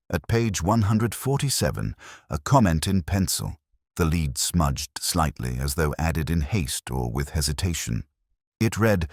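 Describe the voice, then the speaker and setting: A man playing a middle-aged detective who narrates a mystery in a measured, wry, reflective and literary manner. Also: rumbling low, dry recording, studio quality, full character voice. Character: world-weary, wry, literary English male detective narrating his case. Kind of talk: scripted first-person mystery narration.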